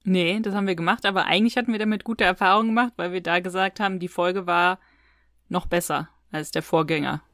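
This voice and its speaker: A woman's voice: fairly low pitch, steady tone, fast talker, a bit bright, no room sound, clean studio sound. A woman co-hosting a podcast in casual, conversational speech. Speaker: podcast co-host.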